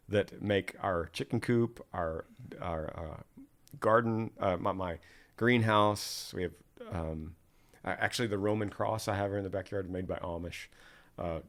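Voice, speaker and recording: A man hosting a podcast, talking in a relaxed way with soft fillers and restarts, and reading listener questions aloud. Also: deep voice. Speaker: podcast host.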